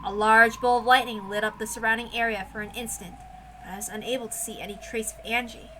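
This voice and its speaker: A woman narrating a visual novel as an amateur read-aloud, expressive and informal. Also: fairly high pitch, fast talker, background noise. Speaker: narrator and reader.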